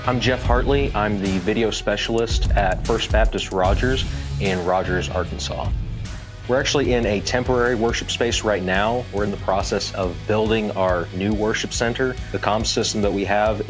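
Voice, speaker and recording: A man giving an informal testimonial interview as a video specialist. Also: fairly low pitch.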